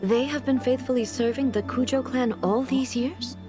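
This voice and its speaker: A regal woman acting as a female ruler with a slightly high voice. She speaks formally and with stern, measured authority, as in a video game, with music clearly playing behind her. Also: steady tone, lightly acted. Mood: solemn, resolute.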